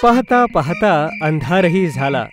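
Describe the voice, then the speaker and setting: A man's voice: a little high, very animated, unhurried, noisy background. A man narrating a scripted story for children as its storyteller.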